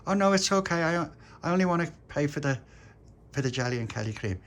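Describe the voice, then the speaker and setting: Tony, as a man puts him on, a high eager little boy. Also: lively intonation, fast talker, a bit bright, a little hiss or hum. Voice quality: high, breathless child voice. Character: excitable generous young boy on his birthday.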